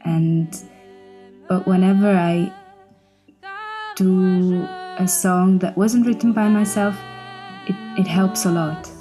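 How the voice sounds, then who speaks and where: A woman's voice: fairly low pitch, steady tone, slow pace, pauses often, music in the background. A woman, a German speaker, talking casually and reflectively as an interview guest. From Switzerland. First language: Swiss German. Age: 20s. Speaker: interview guest, singer-songwriter.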